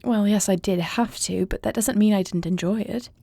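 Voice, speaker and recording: A close, dry recording of an earnest young warrior princess, acted by a woman in an audio roleplay. Her voice is mellow with a creaky edge, and she speaks softly in formal, royal phrasing, anxious yet tender. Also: mid-range voice, normal speed, no room sound. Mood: fond, playful. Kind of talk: intimate audio roleplay acting.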